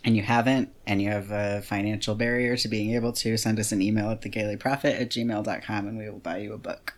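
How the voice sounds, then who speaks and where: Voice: medium pitch, little pitch movement, fast talker. A podcast co-host chatting casually and frankly, in an informal way peppered with filler words like "like". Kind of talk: casual chat.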